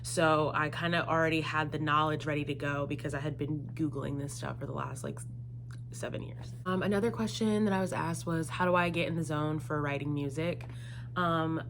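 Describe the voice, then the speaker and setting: A woman's voice: deep voice, background noise. A woman in her twenties chatting casually to viewers as a singer-songwriter answering questions, explaining things in a conversational way. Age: 20s. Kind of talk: casual solo Q&A chat to camera.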